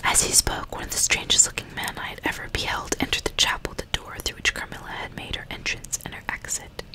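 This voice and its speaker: Hushed, breathy whisper from a woman. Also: fairly quick, low-quality recording. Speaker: ASMR host reading a novel aloud. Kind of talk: whispered ASMR audiobook reading with casual asides.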